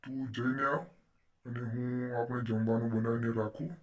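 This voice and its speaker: A man's voice: mid-range voice, little pitch movement, brisk, recorded from a distance, natural voice. A man voicing a caring, protective poor farmer uncle in a cartoon story.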